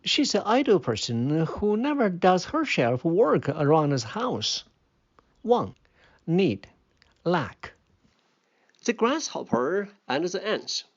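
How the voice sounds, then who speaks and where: Children's fable narrator and vocabulary teacher: unhurried, animated intonation, slightly dull recording. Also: mid-range voice. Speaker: storyteller and English vocabulary teacher. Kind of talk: scripted fable narration and word explanations. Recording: dull, muffled lo-fi sound.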